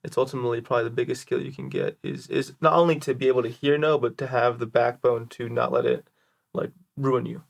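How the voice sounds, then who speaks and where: A man's voice: mid-range voice, steady tone, fast talker, creaky. A man hosting a podcast interview in a casual, conversational way. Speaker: podcast host.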